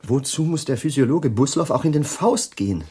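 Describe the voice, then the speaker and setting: An actor playing a distracted, anguished scientist in a German radio play, hesitant and lamenting. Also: male voice, mid-range voice, animated, brisk, dark tone, breathy edge. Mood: uneasy.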